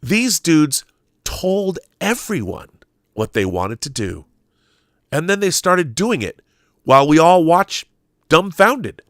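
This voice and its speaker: An American man hosting a live show, talking conversationally with halting repeats and emphatic stresses as he reads aloud and riffs. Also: on the high side, sing-song, no echo, studio quality. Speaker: show host reading viewer questions live. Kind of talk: conversational live-stream commentary, reading aloud and riffing.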